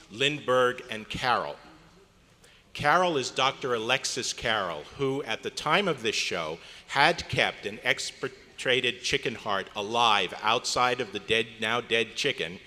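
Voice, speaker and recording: A man's voice: fairly low pitch, bright, forceful tone. A man giving an introductory talk to a live audience over a microphone. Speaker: club member introducing a radio play recreation. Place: hotel convention meeting room, indoors. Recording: echoey hotel meeting hall, noisy background.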